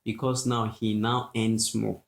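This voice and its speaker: Low-voiced pastor, teaching. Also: male voice, little pitch movement, unhurried, creaky. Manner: teaching, repeats points, keeps asking "you get?". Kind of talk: casual podcast discussion.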